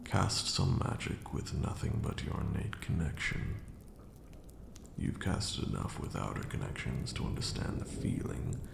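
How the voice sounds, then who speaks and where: A man playing an ancient, haughty vampire lord and fond mentor in a slow, theatrical ASMR roleplay monologue, murmured in a deep, gravelly voice. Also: very animated, echoey room, noisy background, heavily acted. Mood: patient, instructive. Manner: theatrical, drawn-out, condescending.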